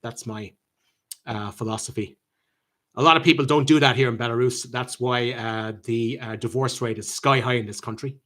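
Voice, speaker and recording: An Irish man hosts a live chat and answers his viewers' comments one by one. He talks at a middling pitch for a man and stops often to restart his sentences with plenty of ums. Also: steady tone, fairly bright tone. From Ireland. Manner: halting, with many ums and restarts. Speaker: channel host answering viewer questions.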